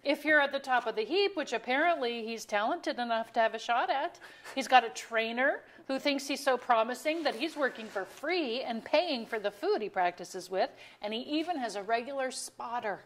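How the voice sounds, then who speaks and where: Woman playing high-voiced, chatty middle-aged wife. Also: expressive, moderate pace, close to an everyday voice. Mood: earnest, defensive. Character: middle-aged married mother, earnest, chatty hostess. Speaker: actor in a staged play reading. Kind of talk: staged reading of a comedy. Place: museum auditorium stage.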